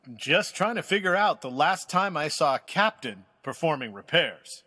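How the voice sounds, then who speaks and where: An actor playing a wise, secretive old space admiral in an audio drama, speaking as a measured, formal, earnest mentor. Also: male voice, fairly high pitch, sing-song, pushed and bright, breathy edge, dry recording, full character voice. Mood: wry challenge.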